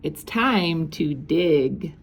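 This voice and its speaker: A woman's voice: low voice, expressive, very slow, exaggerated voice. A woman voicing a hungry storybook king who is picky and demanding about his food. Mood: kindly.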